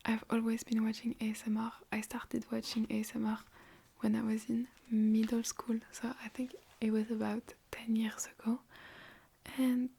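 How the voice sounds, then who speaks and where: A woman's voice: fairly high pitch, completely flat delivery, normal speed, gravelly, breathy edge. Whispering young French-accented woman. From France. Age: young adult. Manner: soft unvoiced whisper, rambling and chatty. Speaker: ASMR creator. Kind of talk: whispered ASMR Q&A. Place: her bedroom. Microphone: phone.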